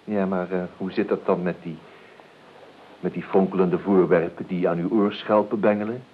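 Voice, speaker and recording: An actor in a Dutch radio play, playing an urbane, evasive nephew with a hesitant, florid, ironically polite way of talking. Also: male voice, sits low, fairly even intonation, moderate pace, a little hiss or hum, close to an everyday voice. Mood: suave, drily evasive. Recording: dull lo-fi radio recording.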